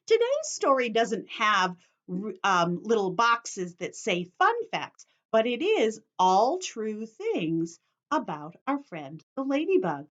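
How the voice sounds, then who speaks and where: A woman teacher reading aloud to young children, explaining things simply and putting questions to them as she goes, in slightly dull lo-fi sound. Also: a little high. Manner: instructive, addresses children with questions and simple repetition.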